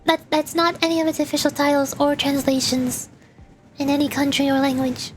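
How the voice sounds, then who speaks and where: High-pitched chatty woman streamer. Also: level tone, fairly quick, soft background music. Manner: chatty, explaining to viewers, repeats herself for emphasis. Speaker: VTuber streamer. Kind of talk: livestream chat while playing a game.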